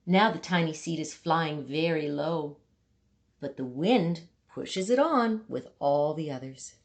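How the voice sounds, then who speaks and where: A woman reading a children's picture book aloud, with dramatic emphasis and deliberate pauses for young listeners. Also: sits low. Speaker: storybook reader.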